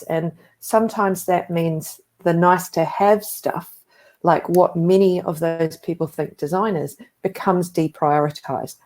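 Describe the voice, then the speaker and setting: A woman's voice: on the low side, a little mellow. A woman with a New Zealand accent giving a conference talk, telling stories in a conversational way with frequent asides. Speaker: conference speaker.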